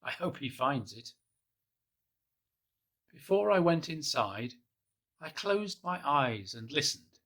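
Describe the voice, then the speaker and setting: A man gently reading a children's picture book aloud in the first person, in a soft, hushed and unhurried way. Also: a little high, big pitch swings. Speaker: storybook reader.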